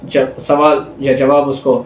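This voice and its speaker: A man's voice: mid-range voice, little pitch movement, slow pace. A man with a Pakistani accent giving an explanatory law lecture in a didactic way, repeating and rephrasing his points. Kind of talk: explanatory law lecture, reading statutes aloud then explaining. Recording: roomy echo, distant mic, faint noise.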